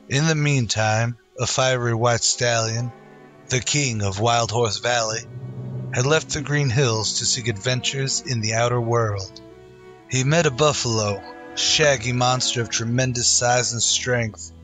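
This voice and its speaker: A man's voice: medium pitch, fairly bright tone. A man narrating a scripted western in dramatic old-time radio style.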